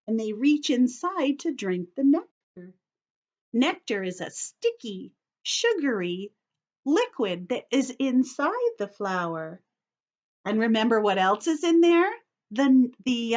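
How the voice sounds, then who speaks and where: Slow, swooping high-voiced woman teacher reading aloud. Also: muffled recording. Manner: expressive, simple teacherly phrasing with questions to children. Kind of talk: read-aloud lesson for children with explanations.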